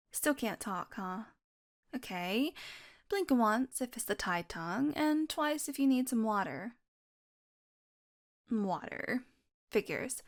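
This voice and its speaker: A woman's voice: a little high, lightly acted. A woman acting a playful, sardonic, curious young vampire in an intimate audio roleplay. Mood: coaxing, teasing. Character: teasing, curious young female vampire doing experiments.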